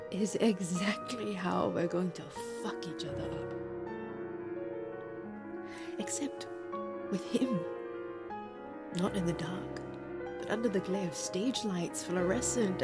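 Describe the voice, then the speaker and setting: An actress playing a heartbroken, anxious young woman in an audio drama, speaking intimately and deliberately, as if confessing. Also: lowish voice. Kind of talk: audio drama monologue and dialogue.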